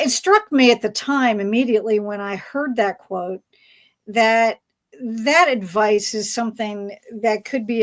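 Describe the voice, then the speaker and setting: A woman moderating a fan panel discussion, putting questions to the panelists in a halting, rambling, conversational way. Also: medium pitch.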